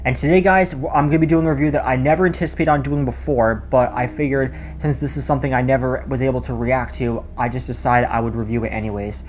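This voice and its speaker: A man's voice: mid-range voice, steady tone, fast, few pauses, a little mellow. A man giving a casual spoken video review in a rambling way, often stumbling over his words. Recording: noticeable background noise.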